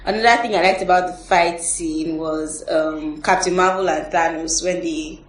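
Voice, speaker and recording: A woman's voice: on the low side, steady tone, normal speed. A woman hosting a movie review podcast, talking to her guests and sharing her own views. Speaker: podcast host. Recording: roomy, distant mic, background noise.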